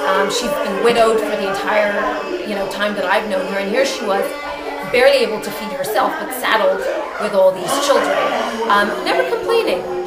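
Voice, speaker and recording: A woman from Kenya whose first language is Kikamba, a charity founder speaking earnestly in an interview at a party. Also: mid-range voice. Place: party with music. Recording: echoey room, loud music behind.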